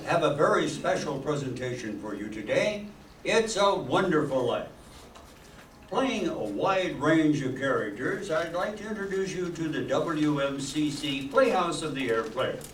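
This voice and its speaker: Man playing a deliberate old-time radio announcer, echoey hall. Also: a little high, expressive. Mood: welcoming showman. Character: genial 1940s radio show announcer and narrator. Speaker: live radio play host and announcer. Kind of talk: 1940s radio announcing, scripted. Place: stage of an auditorium with live audience. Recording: echoey hall from far off, faint noise.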